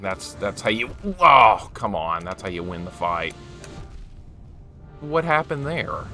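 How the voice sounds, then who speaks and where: A man's voice: deep voice, animated, takes it slowly, pushed and bright, faint music behind. A man in his forties streaming a game, chatting casually with viewers and reacting to play. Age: 40s. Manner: chatty, talks to chat while reacting to the game. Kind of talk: casual live-stream chat while gaming.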